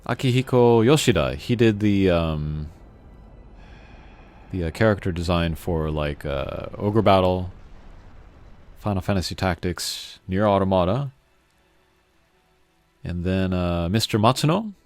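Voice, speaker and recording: A man's voice: deep voice, expressive, unhurried, pauses often, a little mellow. A man hosting a gaming channel, giving casual, rambling and hesitant playthrough commentary. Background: game music.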